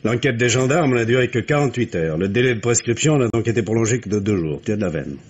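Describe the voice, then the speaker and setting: A gruff wry police commissioner. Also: male voice, mid-range voice, expressive, fast, highs cut off. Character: shrewd gruff police commissioner, middle-aged man. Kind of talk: 1950s French radio drama acting. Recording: old radio drama recording with faint hiss.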